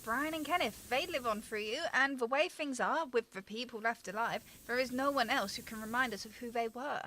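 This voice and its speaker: A woman's voice: fairly high pitch, a little fast, bright, forceful tone, a little hiss or hum. A woman playing a guilt-ridden scientist. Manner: anguished, self-blaming, urgent pleading. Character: guilt-ridden female scientist, younger sister. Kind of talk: amateur radio drama acting.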